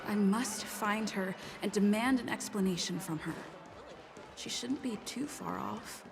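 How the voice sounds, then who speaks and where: A woman's voice: mid-range voice, measured pace, gravelly. An actress playing a determined young female adventurer in a video game, speaking plainly as she questions and ponders. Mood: suspicious, resolved. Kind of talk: video game character dialogue. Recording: background music under the voice.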